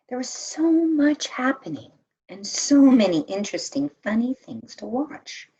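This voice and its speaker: A woman reading a children's story aloud in an expressive, deliberate manner with dramatic emphasis. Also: on the low side, husky. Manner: animated, deliberate, dramatic emphasis for young listeners. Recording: dull, lo-fi sound.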